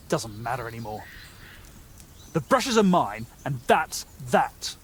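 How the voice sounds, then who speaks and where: An actor playing a nervous, gullible young medieval bandit in a game, with a hesitant, stammering, excitable way of talking. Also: male voice, medium pitch, slow pace, breathy edge, full character voice. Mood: reluctant, defensive. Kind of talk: video game dialogue acting.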